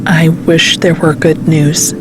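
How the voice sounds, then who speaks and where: Grave woman scientist amid clear background noise. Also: sits low, level tone, takes it slowly. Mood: somber, resigned. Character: grave, weary female scientist delivering dire news. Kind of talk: dramatic audio drama dialogue. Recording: noisy car ambience.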